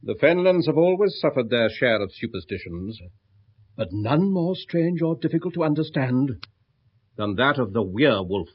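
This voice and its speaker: An actor playing an eccentric, earnest professor of folklore with an English accent, lecturing emphatically in a 1970s British radio drama. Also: male voice, medium pitch, sing-song, normal speed, husky. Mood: grave, intent. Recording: dull, band-limited old radio recording.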